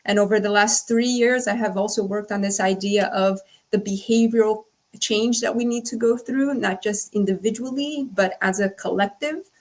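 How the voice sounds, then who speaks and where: Woman panelist with mid-range pitch. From Brazil, grew up in the United States. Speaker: panelist, sustainability professional. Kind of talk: panel self-introduction.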